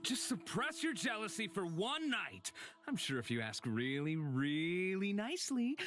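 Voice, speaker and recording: A man's voice: high voice, wildly swooping pitch, a little slow, fairly bright tone, exaggerated voice. A man playing a cool, sardonic and guarded character with a wry, needling manner in a visual novel game. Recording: music behind the voice.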